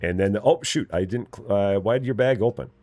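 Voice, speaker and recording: Chatty Midwestern man, low voice. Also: brisk, mellow. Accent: General American, Midwest Illinois. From Illinois, US Midwest. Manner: chatty, repeats himself, playful teasing. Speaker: gaming streamer. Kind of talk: casual live-stream chat while playing.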